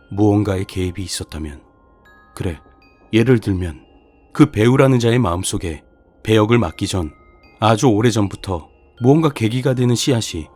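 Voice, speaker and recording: A man narrating a scripted horror story in the first person, with a measured, brooding delivery. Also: lowish voice, a little mellow. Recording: music behind the voice.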